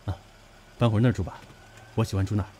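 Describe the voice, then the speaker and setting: An actor playing a passionate, boyish young man in an audio drama, with a husky, insistent delivery. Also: on the low side, steady tone, fast, dark tone, a little hiss or hum, lightly acted. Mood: happy. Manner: emotional, insistent. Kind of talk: Chinese audio drama acting.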